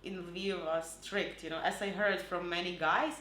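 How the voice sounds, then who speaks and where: A woman's voice: on the low side, steady tone, moderate pace, a bit bright, reverberant. A woman from Ukraine with a Ukrainian accent, answering interview questions in non-native English, laughing easily and often saying "you know". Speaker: interview guest. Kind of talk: casual conversation.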